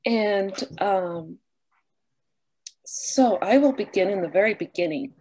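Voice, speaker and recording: A woman in her thirties, a nun and vocations director, born in Argentina and raised near New Orleans, talks unhurriedly over a webcam with many fillers and soft laughs. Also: mid-range voice, expressive, takes it slowly, a little hiss or hum, poor audio. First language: Spanish. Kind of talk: casual talk, opening with a prayer. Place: small bedroom with a wooden armoire, indoors. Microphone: webcam on a video call.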